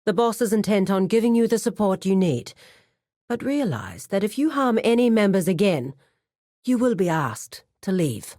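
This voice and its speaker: A composed, dutiful female crime lieutenant played by a woman for a video game. Her speech is measured and formal, all business, yet the pitch moves in a lively, animated way at a middling height for a woman. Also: lightly acted. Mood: businesslike, firm. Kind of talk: video game character voice acting.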